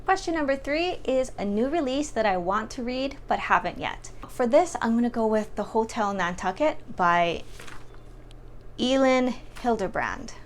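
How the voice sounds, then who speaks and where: A woman's voice: a little high, lively intonation, measured pace. A young adult woman giving a casual, chatty book review as a vlogger talking to her audience. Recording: clearly audible background noise.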